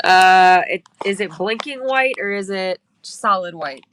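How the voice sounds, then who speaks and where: A woman's voice: medium pitch, unhurried, noisy background. A woman hosting a livestream, stuffy and sniffling from allergies, chatting casually and laughing often as she talks into her phone. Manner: chatty, laughs often, apologetic about tech trouble. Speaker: livestream host. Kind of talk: casual chat. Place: indoor studio.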